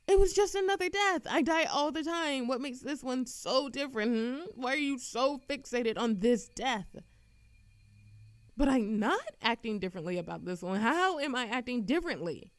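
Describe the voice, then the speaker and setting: A woman playing a lovesick, defensive immortal in an audio roleplay, speaking in a halting, emotional way to the listener. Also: high-pitched, lively intonation, no echo. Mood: defensive, agitated. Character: lovesick immortal woman, defensive, reckless, confessing to a Grim Reaper. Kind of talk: audio roleplay monologue, acted intimately to the listener.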